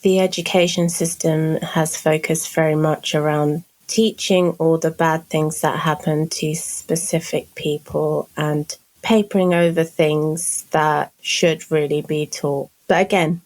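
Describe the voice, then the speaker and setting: A woman with a fairly low voice hosts a podcast interview. She asks her questions in a measured, gently inquiring way. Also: low-quality recording. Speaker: podcast host.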